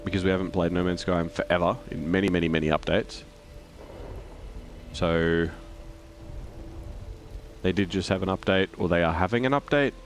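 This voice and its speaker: A man with a croaky, flu-hoarse voice chatting casually while streaming a game and greeting viewers. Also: sits low, normal speed, long pauses, faint music behind. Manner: rambling, reads out chat and greets viewers. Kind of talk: casual gameplay stream chat.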